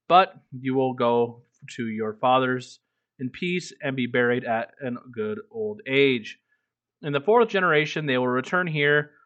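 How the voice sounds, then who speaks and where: A man's voice: mid-range voice, a bit bright, muffled recording. A man reading a book aloud as a streamer, breaking off into casual, irreverent, swearing commentary. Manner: irreverent, profane asides.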